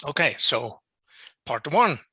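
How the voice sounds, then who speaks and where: A man with a Dutch accent, a non-native English speaker, giving an online lecture as a journal editor and restating his points deliberately over a video call. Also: fairly high pitch, sing-song, bright, forceful tone, breathy edge, no echo, studio quality. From Netherlands. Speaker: journal editor presenting a workshop. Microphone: video call microphone.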